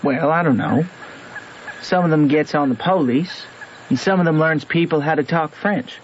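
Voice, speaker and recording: Huck, high-voiced Southern backwoods boy played by a man. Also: moderate pace, slight background noise, close to an everyday voice. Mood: earnest. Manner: folksy, matter-of-fact storytelling. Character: Huck, plainspoken backwoods boy telling his river adventures. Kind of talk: old-time radio drama narration and dialogue. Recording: muffled vintage radio recording, music behind.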